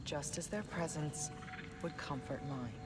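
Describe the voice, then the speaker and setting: A woman's voice: low-pitched, expressive. Stern, dignified noblewoman over music. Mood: businesslike. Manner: formal, firm, measured. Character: proud, stern, dignified middle-aged noblewoman and mother. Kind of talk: fantasy video game drama.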